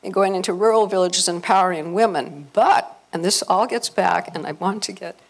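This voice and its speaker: A woman's voice: fairly low pitch, a little fast. An older woman with an American accent giving an informal, effusive talk to an audience on a handheld microphone in a conference room. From Los Angeles, United States. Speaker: event host and speaker. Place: hotel conference room, indoors. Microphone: handheld microphone through PA.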